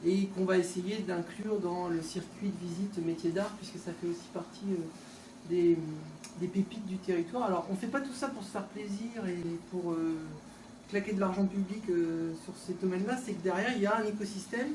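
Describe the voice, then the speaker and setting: A woman local official gives an informal opening speech in a conversational, halting, off-the-cuff way, recorded indoors from a distance. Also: on the low side, steady tone. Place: indoors, tourist office exhibition hall. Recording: echoey room, distant mic, background noise.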